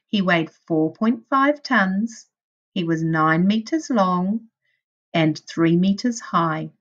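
A woman teacher reading a children's storybook aloud in a deliberate way, addressing young children. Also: fairly low pitch, a bit bright, dull audio. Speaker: primary school teacher.

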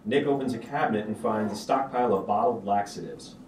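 A man's voice: lowish voice, brisk, darkish tone. Guy reading stage directions at a script reading, roomy sound, some noise. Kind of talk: screenplay table read, scripted narration. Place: stage of a small auditorium. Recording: roomy auditorium, distant mic, background noise.

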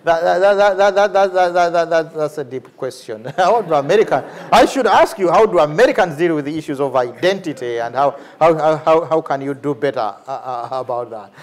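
A middle-aged man with a Ugandan accent, speaking off the cuff as a lecturer, animated and repeating words for emphasis. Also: fairly high pitch, normal speed, few pauses. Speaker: lecturer, theology professor and priest. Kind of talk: lecture with off-the-cuff answer to a question. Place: large university lecture hall, at a lectern.

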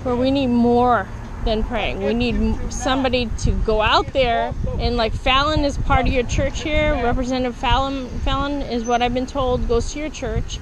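A woman's voice: on the high side, steady tone, moderate pace, a crowd in the background, low-quality recording. A woman activist talking earnestly and insistently with someone in a church parking lot, recorded on a body camera. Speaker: abortion abolitionist activist. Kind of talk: outdoor street conversation. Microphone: body camera worn by speaker.

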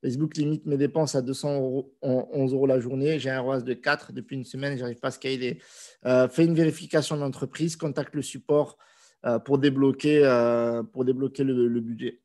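A man's voice: mid-range voice, normal speed. Man with a cold hosting a live Q&A. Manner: relaxed, conversational, with frequent hesitations. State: has a cold. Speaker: host answering viewer questions. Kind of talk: casual live Q&A. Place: small indoor room. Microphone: desk microphone on video call.

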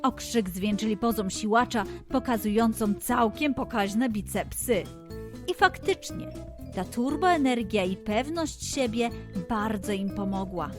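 A woman narrating a scripted fairy tale for children in an expressive, deliberate manner. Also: a little high, over music. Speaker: children's storyteller.

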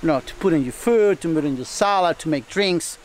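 A middle-aged Costa Rican man with a high voice and native Spanish shows people around his farm. He talks casually in simple phrases, repeating words, with faint outdoor noise around him. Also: expressive, a little fast. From Costa Rica. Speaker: farmer showing his homestead. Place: outdoors in a tropical food forest.

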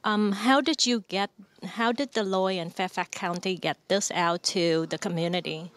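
A woman's voice: medium pitch, steady tone, normal speed, fairly bright tone, dry recording. A woman reporter asking questions in an interview. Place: indoor community center.

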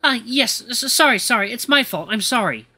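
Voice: sits high, expressive, normal speed, pushed and bright, exaggerated voice. A performer voicing a tiny, anxious male golden retriever butler in a story, stammering and apologetic. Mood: panicked, apologetic.

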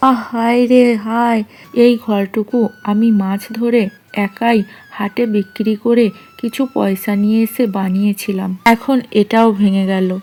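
A woman's voice: on the high side, fairly even intonation, a little slow, mellow, soft background music. A woman voicing a poor, hungry orphaned fisherwoman in a cartoon story, lamenting yet resilient. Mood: despairing. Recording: clear background noise and ambience.

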